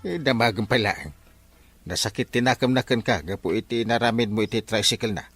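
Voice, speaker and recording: A man playing an excitable, gossiping village crony with a husky voice in an Ilocano comedy drama. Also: mid-range voice, fairly quick, soft background music. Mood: chiding.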